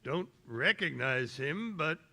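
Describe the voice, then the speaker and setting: An actor playing an earnest, excitable police commissioner in 1930s radio drama style, halting and exclamatory. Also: male voice, fairly high pitch, creaky, exaggerated voice. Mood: baffled.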